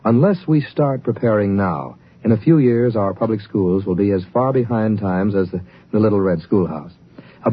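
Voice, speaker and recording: A man reading a 1950s radio public service announcement in a formal, earnest announcer's style. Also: lowish voice. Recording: muffled, hissy old radio recording.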